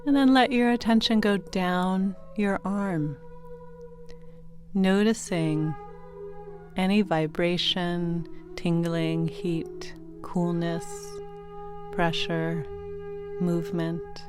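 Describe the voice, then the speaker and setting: Female meditation guide for sleep audio: lowish register, very slow, gentle delivery over quiet music. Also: fairly even intonation. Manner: gentle, soothing, unhurried instruction with pauses. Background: meditation music with singing bowl tones.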